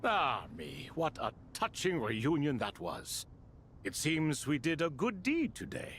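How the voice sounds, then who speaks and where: A man's voice: a little high, wildly swooping pitch, unhurried, a bit bright, slight background noise, exaggerated voice. A man voicing a wry, grand ancient king spirit in a video game, with theatrical and ornate phrasing. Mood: wry amusement.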